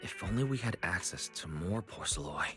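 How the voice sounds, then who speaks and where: A man's voice: low-pitched, animated, a little fast. A man voicing an earnest, analytical young man in a video game. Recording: game audio under music.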